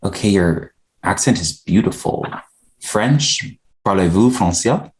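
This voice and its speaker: An actor playing an earnest, opinionated but polite poet in a screenplay table read. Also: male voice, low voice, animated, takes it slowly, darkish tone, poor audio. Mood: friendly.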